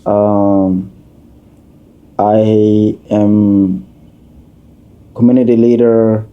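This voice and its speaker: A man, a community leader answering questions as a podcast guest in an unhurried, halting way. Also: on the low side, level tone, dull audio. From Somalia, raised in Kenya. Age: 30s. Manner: unhurried, halting, with many uh fillers and restarts.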